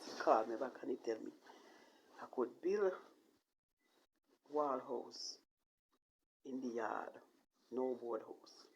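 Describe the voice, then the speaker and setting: A woman with a Jamaican accent telling a personal story casually in patois, in a halting, emphatic way that repeats phrases. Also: a bass voice, a little hiss or hum, poor audio. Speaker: vlogger telling own life story.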